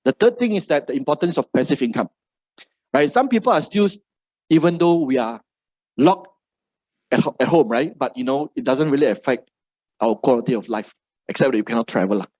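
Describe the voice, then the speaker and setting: A man's voice: medium pitch, expressive, fairly quick, pauses often, a little mellow, dry recording, lo-fi sound. A middle-aged man from Malaysia with a Malaysian English accent gives a seminar talk to a live audience, ending nearly every phrase with "right?". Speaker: motivational speaker.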